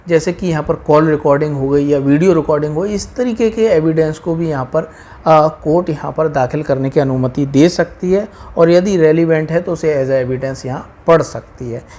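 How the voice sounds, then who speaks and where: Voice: medium pitch, dark tone. A speaker with an Indian accent explaining law informally to viewers, repeating points and mixing in English legal terms.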